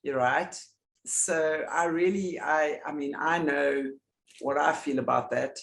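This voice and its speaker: A woman with a deep voice hosting a casual, heartfelt chat, gushing and appreciative in her words. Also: steady tone, slow pace, gravelly, roomy sound. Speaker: host.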